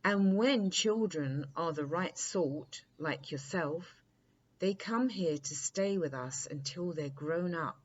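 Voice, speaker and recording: A woman's voice: fairly low pitch, slow, slight background noise. Lady doing a storybook dream spirit, friendly n welcoming, sure of itself, slightly dull sound. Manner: assured, welcoming.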